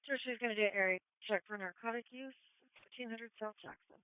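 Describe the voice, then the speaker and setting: A woman's voice: fairly high pitch, fast talker, smooth, no echo. A woman dispatching police over two-way radio in a matter-of-fact way, relaying incident details. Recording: tinny narrow scanner radio feed.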